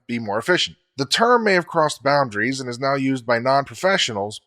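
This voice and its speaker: A man co-hosting a podcast, explaining facts in a measured, scripted way. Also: mid-range voice, fairly bright tone.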